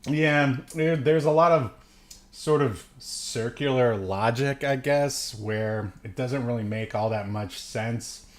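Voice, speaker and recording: Guy on a film review podcast, kinda rambly, stops and starts while he thinks out loud. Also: medium pitch. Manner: rambling, halting, drawn-out musing. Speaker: podcast co-host reviewing films. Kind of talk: casual film-review chat.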